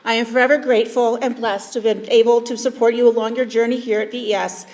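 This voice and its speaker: A woman's voice: a little high, flat delivery, fast talker, a little mellow. Earnest woman teacher heard from afar. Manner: earnest, formal, with hesitant fillers. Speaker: student advisor and teacher. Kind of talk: formal tribute speech. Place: school event hall. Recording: voice from a distance in a hall.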